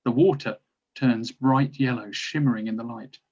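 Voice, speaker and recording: A man's voice: medium pitch, fairly even intonation, moderate pace, a little mellow, slightly rough, dull audio. A man narrating stage directions from a script at a drama table read.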